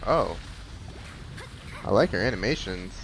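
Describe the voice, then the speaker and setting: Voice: deep voice, lively intonation, measured pace, a little hiss or hum, low-quality recording. A gamer giving casual, informal, reactive commentary over a video game playthrough. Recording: game music behind the voice. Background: game music.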